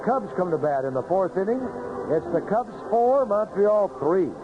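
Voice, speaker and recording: A man's voice: sits high, big pitch swings, normal speed, muffled recording, noisy background. A man calling baseball play-by-play live on a vintage radio broadcast. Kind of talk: live radio sports commentary. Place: outdoor ballpark broadcast booth.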